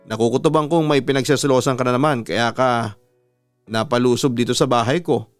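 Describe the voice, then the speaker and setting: A man's voice: medium pitch, fairly even intonation, fairly quick, dry recording, soft background music, lightly acted. A man voicing a young boyfriend in a dramatic story, guilty, evasive and apologetic. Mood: cold, puzzled.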